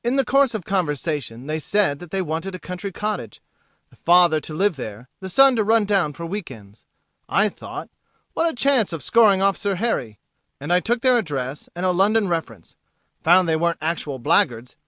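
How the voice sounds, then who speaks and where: A woman reading an audiobook, voicing a smug, teasing young gentleman who talks in an arch, lofty way. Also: on the low side, sing-song, moderate pace, no echo, pitched below the performer's own voice. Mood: amused. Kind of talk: audiobook character voice.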